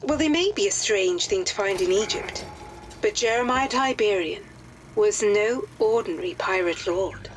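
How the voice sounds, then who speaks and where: An actress voicing a composed, authoritative aristocratic lady who gives formal, measured mission briefings in a game. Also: mid-range voice, lively intonation, slight background noise, lightly acted, poor audio. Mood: grave briefing. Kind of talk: dramatic game cutscene briefing.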